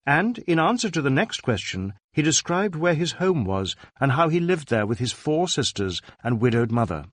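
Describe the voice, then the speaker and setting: A man reading a story as a scripted audiobook narrator, in an expressive storytelling manner. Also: fairly high pitch, moderate pace, hardly any pauses, no room sound, clean studio sound.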